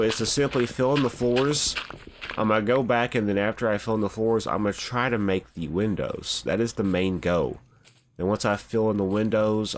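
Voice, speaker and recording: Stuffy-sounding low-voiced young man. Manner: laid-back, rambling, says "bro" often. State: stuffy from a cold. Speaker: gaming channel host. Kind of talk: casual gameplay commentary. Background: background music.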